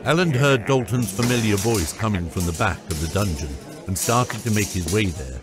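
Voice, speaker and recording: A man's voice: on the low side, animated, normal speed. A man reading scripted narration for a fantasy audiobook. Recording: loud music under the voice. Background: music.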